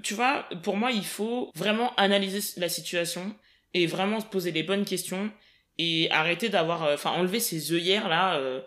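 A young woman from the Paris region in casual podcast conversation, emphatic and quick, often laughing. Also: fairly low pitch, a bit bright. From Paris region, France.

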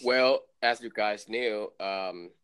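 A man in his late twenties, from Thailand, co-hosting a podcast in relaxed chat full of fillers and laughs. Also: lowish voice, takes it slowly, clear, resonant tone. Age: 20s. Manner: loose, laughing, lots of fillers. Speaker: podcast co-host. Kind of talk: casual chat.

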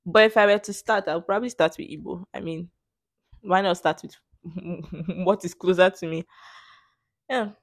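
A woman's voice: on the low side, fairly even intonation, moderate pace, pauses often, dry recording, clean studio sound. A young woman with a Nigerian English accent, host of a casual solo podcast, answering questions in a playful, sarcastic way. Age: young adult. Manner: sarcastic, playful, laughs often.

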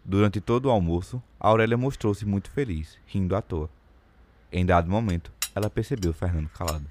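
A man's voice: deep voice, brisk, darkish tone, slight background noise. A man giving scripted literary narration for an audio drama.

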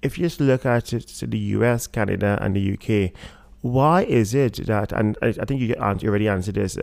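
A man from Trinidad with a Trinidadian accent, a guest talking in a relaxed interview and often pausing with fillers and restarts. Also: fairly low pitch, gravelly, no room sound. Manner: hesitant, many fillers and restarts. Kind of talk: casual interview talk.

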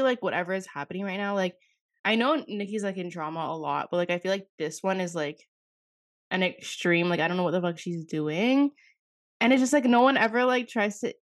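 A young woman with a fairly low voice, chatting loosely on a podcast and rambling from thought to thought with "like" in nearly every phrase. She sounds a little under the weather. The sound is dry and close, with no room around her. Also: brisk, dry recording. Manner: rambling, says "like" constantly, swears casually. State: slightly sick, voice a bit off. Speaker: podcast co-host. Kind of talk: casual chat.

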